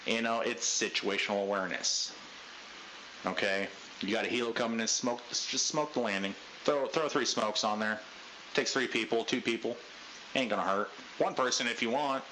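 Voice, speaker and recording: A man leading a group debrief in a casual pep-talk style, talking loudly with a lot of inflection. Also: noisy background. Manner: loud, high voice inflection. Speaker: unit leader giving a debrief.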